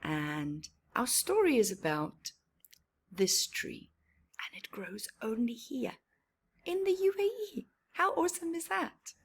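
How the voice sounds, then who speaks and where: A woman reading a picture book aloud to children as a storyteller, with deliberate phrasing and hushed, admiring asides. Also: on the high side, sing-song, vocal fry, husky. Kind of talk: expressive children's read-aloud with short asides.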